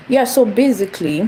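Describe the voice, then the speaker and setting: A woman's voice: mid-range voice, warm tone, a little hiss or hum, rough recording. A young woman from Nigeria hosting a casual phone livestream, rambling and repeating herself as she greets viewers. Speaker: channel host. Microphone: phone camera.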